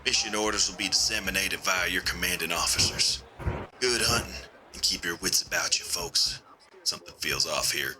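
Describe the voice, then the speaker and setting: A man's voice: low voice, moderate pace, background noise, lightly acted. A man voicing a wary, commanding military major who delivers clipped battle orders in a tabletop game. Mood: urgent, wary. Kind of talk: scripted military radio briefing.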